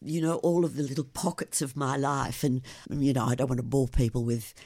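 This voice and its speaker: An older woman, a guest on a radio interview, telling stories about her travels and her blog with warm enthusiasm. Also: a bass voice, fairly quick, no echo. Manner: enthusiastic, animated storyteller. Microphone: studio microphone.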